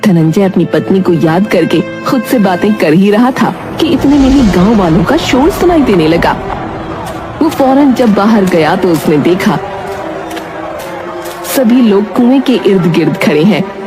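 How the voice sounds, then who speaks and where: A woman narrating a cartoon story in a steady, measured way. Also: mid-range voice. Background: background music.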